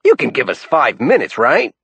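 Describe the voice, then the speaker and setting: A male narrator voicing a heavyset older chain-smoker with a New York accent, a parched raspy voice and a brash, wheedling way of bargaining. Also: mid-range voice, wildly swooping pitch, normal speed, a bit bright, no echo, voice pushed up, full character voice.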